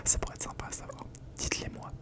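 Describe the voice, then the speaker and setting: A young man whispering a rambling ASMR chat from home, slangy and full of fillers, close to the microphone. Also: fast talker. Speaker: ASMR creator.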